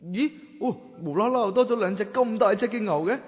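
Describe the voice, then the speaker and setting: An actor playing a timid, polite young scholar of ancient China, earnest and hesitant, in a radio drama. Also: male voice, sits high, a little fast, husky, no echo, clean studio sound, faint music behind. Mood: puzzled.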